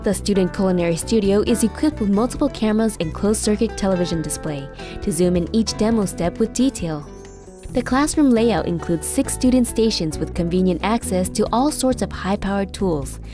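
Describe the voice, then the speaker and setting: A woman's voice: mid-range voice, lively intonation, moderate pace. A woman reading scripted documentary narration. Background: music behind the voice.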